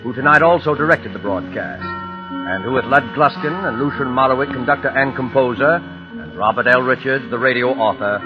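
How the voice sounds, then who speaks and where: Male 1940s radio announcer, deliberate, formal, music bed. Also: mid-range voice, dull audio.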